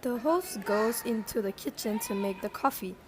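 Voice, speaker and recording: A woman's voice: fairly high pitch, moderate pace, natural voice. A woman reading scripted narration and news-style lines for a radio drama, with faint noise behind her. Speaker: narrator and newsreader.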